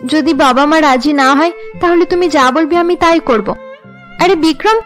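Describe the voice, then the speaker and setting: A high-pitched young cartoon woman played by a female narrator, the dutiful elder sister. She is earnest and considerate, with faint music behind her. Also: moderate pace, a bit bright. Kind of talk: Bengali cartoon dialogue.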